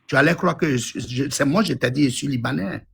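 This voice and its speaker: A man in his fifties from Côte d'Ivoire calls into a live discussion and talks bluntly, circling back to his points with insistence. His voice has a gravelly, husky grain. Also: medium pitch, brisk, fairly bright tone. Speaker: caller. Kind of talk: live audio room call-in.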